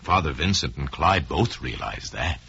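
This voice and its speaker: A man narrating a crime story in old-time radio style, deliberate and suspenseful, with dramatic pauses. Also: very deep voice, lively intonation, vocal fry, pushed and bright, husky. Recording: dull, lo-fi old radio recording.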